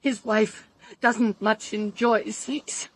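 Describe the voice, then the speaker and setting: Bitter jilted british woman, high voice, scornful n self-pitying, dry radio drama sound. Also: steady tone, takes it slowly, slightly rough, no echo. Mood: anguished. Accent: British English. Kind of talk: full-cast audio drama acting.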